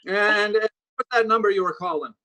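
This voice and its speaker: An actor playing a weary, dismissive city police sergeant in 1940s radio drama style, placating and patronizing. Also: male voice, high voice, brisk, sharp tone, rough recording. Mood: distracted, routine. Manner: hesitant, placating, then brusquely patronizing.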